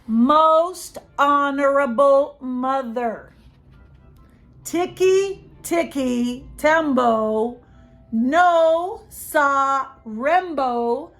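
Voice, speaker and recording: Woman doing a frantic shouting little boy. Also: sits high, pitched above the performer's own voice, heavily acted. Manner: shouting, panting.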